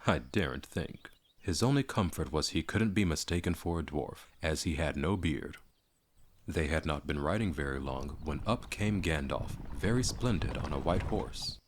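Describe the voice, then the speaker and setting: Male audiobook narrator, very low register, dramatic storybook delivery.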